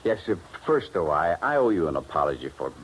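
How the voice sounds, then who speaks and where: Low-voiced, desperate radio-drama schemer. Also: male voice, sing-song, fairly quick. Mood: uneasy, earnest. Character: touchy, desperate male schemer in love. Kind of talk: 1950s radio drama acting. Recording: vintage radio, faint background noise.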